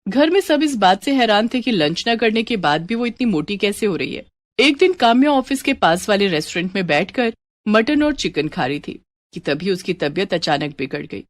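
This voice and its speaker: A woman's voice: medium pitch, no room sound. A woman narrating a scripted story as its storyteller.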